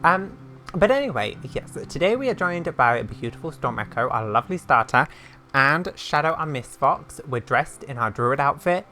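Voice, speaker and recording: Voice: mid-range voice, sing-song, moderate pace, bright, forceful tone, soft background music. A host giving casual gameplay commentary, with scattered, playful asides. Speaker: gaming video host.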